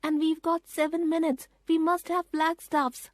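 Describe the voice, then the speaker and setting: A woman's voice: extremely high pitch, level tone, a little slow, no room sound, studio quality, voice pushed up. A woman doing an urgent, decisive young boy. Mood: businesslike. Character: brave, businesslike young boy.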